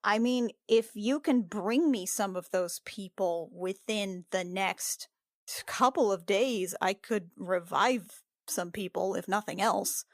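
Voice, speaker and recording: A woman's voice: mid-range voice, slow pace, no room sound, studio quality. Blunt woman, casual and closely recorded. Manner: blunt, informal. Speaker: tabletop game player. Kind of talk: casual in-character improvised chat.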